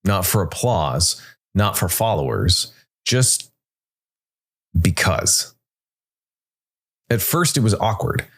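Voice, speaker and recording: A man reads scripted dark fantasy narration in a measured way, with dramatic pauses between short phrases. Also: low-pitched, big pitch swings, husky. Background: background music.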